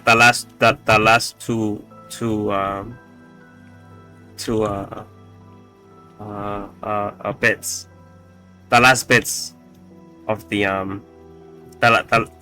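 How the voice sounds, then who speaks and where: A man casually walking through his code, halting often with fillers and restarts, with a slightly raspy voice and the odd swear. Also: fairly low pitch, sharp tone. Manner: halting, full of fillers and restarts, profane asides. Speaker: coding tutorial host. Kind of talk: casual screen-recorded coding walkthrough.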